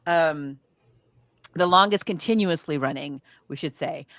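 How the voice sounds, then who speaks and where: A woman's voice: low-pitched, a little slow, a bit bright, no room sound, clean studio sound. A woman co-hosting a podcast, chatting casually about books and films in a digressive, thinking-aloud way. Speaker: podcast co-host. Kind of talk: casual book and film discussion.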